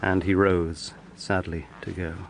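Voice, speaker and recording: An actor playing a troubled young gentleman lawyer, sombre and formal, in a period radio drama. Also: sits low, flat delivery, a little slow, vocal fry, background noise. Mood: melancholy. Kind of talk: first-person radio drama narration and dialogue.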